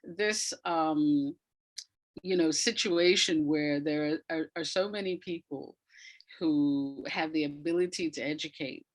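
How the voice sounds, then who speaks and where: A woman with a low-set voice talks as a guest in an interview. She has the emphatic manner of an educator, restating phrases to drive them home, in a conversational flow. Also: unhurried.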